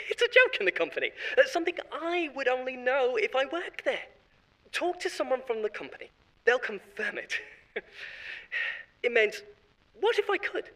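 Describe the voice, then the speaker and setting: A man's voice: very high-pitched, sharp tone, full character voice, rough recording. An actor playing a boastful, self-satisfied former corporate consultant who chats on and laughs at his own jokes. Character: smug, boastful male corporate insider. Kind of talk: audio drama monologue.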